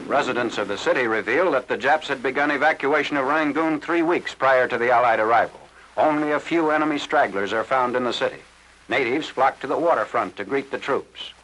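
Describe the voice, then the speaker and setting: A man's voice: mid-range voice, fairly quick, a bit bright. An American man reads scripted narration as a 1940s newsreel announcer, in a formal, clipped military delivery. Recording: noisy 1940s newsreel film soundtrack.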